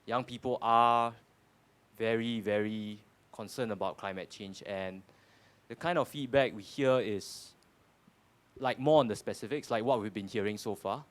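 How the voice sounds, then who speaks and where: A man's voice: on the low side, a little slow. A man in his twenties with a Singaporean accent, answering on a panel in an earnest way, often repeating words. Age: 20s. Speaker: panel speaker.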